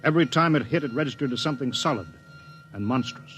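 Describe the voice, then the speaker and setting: An American actor playing a wary, commanding spaceship captain in a 1950s science fiction radio drama, giving clipped, authoritative orders. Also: male voice, mid-range voice, fast talker, a bit bright, lightly acted. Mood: grave. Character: stern, wary male spaceship captain, commanding and duty-bound. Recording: muffled vintage radio recording, faint music.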